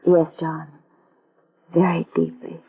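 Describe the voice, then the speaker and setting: A coy, mysterious charmer. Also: female voice, low-pitched, completely flat delivery, takes it slowly, vocal fry, husky, full character voice. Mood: tender. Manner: coy and soft-spoken. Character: glamorous, mysterious woman, a smooth charmer. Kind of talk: 1940s radio acting. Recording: dull old radio broadcast.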